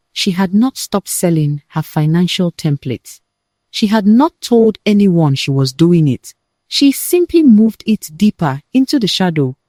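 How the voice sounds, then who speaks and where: A woman narrating a scripted dramatic family tale as a storyteller, in deliberate, measured phrases with pauses for weight. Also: fairly low pitch, dark tone, no room sound, clean studio sound.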